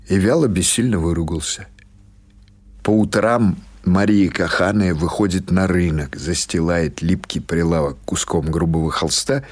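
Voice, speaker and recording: Male audiobook narrator for literary prose; lower-pitched, husky voice, scripted storytelling delivery.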